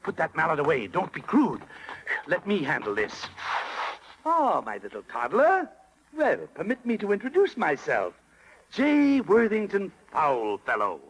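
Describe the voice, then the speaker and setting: A man's voice: high-pitched, huge pitch swings, measured pace, heavily acted, rough recording. A man playing a sly, grandiose fox swindler with florid, oily patter in a 1930s radio drama. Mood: flattering, pompous. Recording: vintage 1930s radio broadcast.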